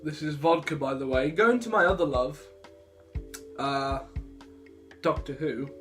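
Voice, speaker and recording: A man's voice: on the high side, a little slow, fairly bright tone. A young man chatting casually to viewers as a vlogger, rambling and interrupting himself. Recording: music behind the voice.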